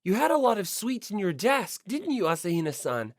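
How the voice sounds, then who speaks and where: Woman as teenage schoolboy, earnest, insistent, easily flustered, animated pitch, audiobook dialogue, dry sound. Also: a little fast, no echo. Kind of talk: audiobook character voice.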